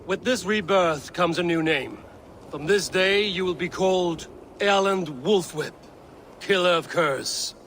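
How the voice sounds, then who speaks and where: A pragmatic Viking warrior in a video game. His delivery is wry and understated, in a somewhat high voice. Faint ambient noise sits behind it. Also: unhurried, fairly bright tone. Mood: ceremonious. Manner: dry, understated. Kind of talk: video game character acting.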